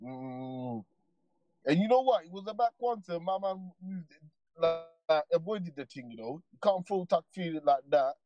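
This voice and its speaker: A man's voice: a little high, expressive, a little slow, muffled recording, music in the background. A man calls in to a livestream and chats casually, rambling in laid-back street slang. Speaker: caller. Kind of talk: casual call-in chat.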